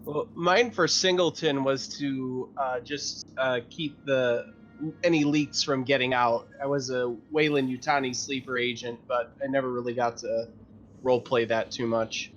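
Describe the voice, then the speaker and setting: Guy chatting about his rpg character, average pitch, muffled lo-fi sound. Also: steady tone, measured pace, fairly bright tone, faint music behind. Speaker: player in a tabletop roleplaying game. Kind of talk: casual game chat.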